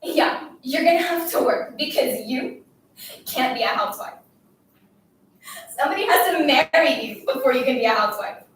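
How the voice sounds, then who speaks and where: A woman plays a bullying schoolgirl in a dramatic story, scolding and demanding with desperate, scornful force. The voice is high. It sounds distant from the mic in a very echoey room. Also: lively intonation, normal speed, a little hiss or hum. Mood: angry, scornful. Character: bullying schoolgirl, taunting, desperate, commanding a silent classmate. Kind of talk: dramatic literary reading.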